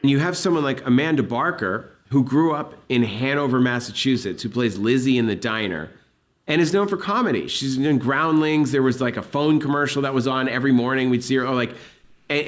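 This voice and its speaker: A man in a played interview clip, telling a story in an animated way. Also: medium pitch, brisk.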